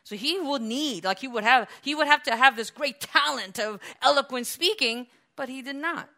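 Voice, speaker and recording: Woman preacher, sermon, animated, conversational, bright tense voice, a bit high. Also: moderate pace. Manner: animated, conversational, laughs often, restarts.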